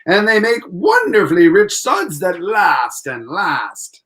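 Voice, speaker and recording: A man's voice: high voice, wildly swooping pitch, slow pace, a bit bright, full character voice. A man playing an upbeat, polished 1940s radio announcer, hearty and salesmanlike as he presents and narrates. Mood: enthusiastic.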